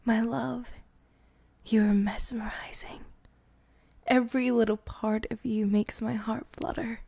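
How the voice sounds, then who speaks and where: A woman voicing a devoted vampire girlfriend in an intimate ASMR roleplay, breathy and often slipping into a whisper. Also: on the high side, no echo, clean studio sound. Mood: adoring. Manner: slow, intimate, hushed, sighing. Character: devoted, lovestruck vampire bride, tipsy and intimate.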